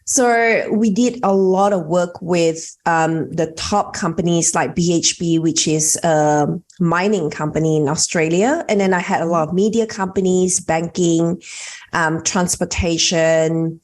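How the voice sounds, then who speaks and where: A woman's voice: on the low side, fairly even intonation, measured pace, a little mellow. A woman from Malaysia, a networking coach, telling her story in a chatty way with frequent fillers. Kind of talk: conversational storytelling.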